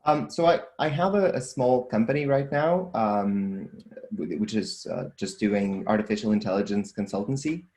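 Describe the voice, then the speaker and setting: A young man with a Portuguese accent and a fairly low voice talks through his answers at an easy pace, pausing on ums and restarting phrases as he thinks. His voice carries a noticeable room echo. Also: expressive, a little mellow. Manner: thoughtful, with frequent ums and restarts. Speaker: guest, data scientist and entrepreneur. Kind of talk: casual interview answers.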